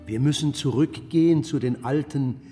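Man playing old master painter, solemn mentor, medium-pitched voice, measured and contemplative, radio play, long reverb, music behind. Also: warm tone, close to an everyday voice. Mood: solemn, reflective. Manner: measured, contemplative, storytelling delivery. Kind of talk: literary radio drama monologue.

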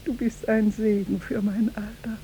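A woman's voice: mid-range voice, flat delivery, mellow, lightly acted. An actress playing a weary, grumbling old robber's mother in a vintage radio drama. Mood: weary, grateful. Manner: slow, complaining, sighing. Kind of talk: 1950s German radio drama acting. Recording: noisy vintage 1950s radio recording.

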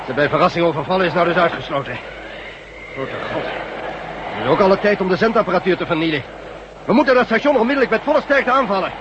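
A male actor playing a brisk, authoritative leader of a ragged rebel band in a science-fiction radio drama, curt and given to cursing. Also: sits high, animated, noisy background. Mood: angry, urgent. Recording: muffled old radio drama recording.

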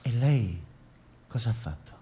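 An actor playing a composed, formal questioner in a radio drama, speaking in measured phrases. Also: male voice, medium pitch, animated, mellow, husky. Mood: probing. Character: probing, composed male interviewer questioning a bereaved mother.

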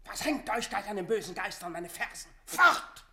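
A man's voice: sits high, lively intonation, moderate pace, gravelly, pushed and bright, heavily acted. A man playing a panicked, guilty nobleman in a classical radio drama, with explosive, agitated theatrical delivery. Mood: panicked fury. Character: panicked, guilty male nobleman rejecting an ally.

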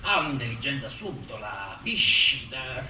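An actor playing a contemptuous, pious prosecutor who declaims a courtroom speech with emphatic, deliberate pauses. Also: male voice, medium pitch, sing-song. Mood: mocking, contemptuous. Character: vehement moralizing male prosecutor, contemptuous and pious. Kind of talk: theatrical courtroom oration. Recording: roomy, distant stage recording with hiss.

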